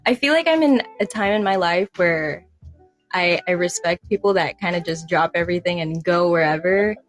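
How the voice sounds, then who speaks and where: A young woman from San Diego hosting a casual livestream interview, friendly and quick to laugh. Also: on the low side, soft background music. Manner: friendly, laughs often, many "nice"s. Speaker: host.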